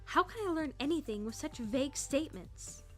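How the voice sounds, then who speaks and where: A woman's voice: high-pitched, lively intonation, fairly quick. A woman playing a sarcastic, easily flustered teenage girl trainee in a visual novel dub, narrating her thoughts and stammering when nervous. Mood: exasperated. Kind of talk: first-person visual novel narration and dialogue. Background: music.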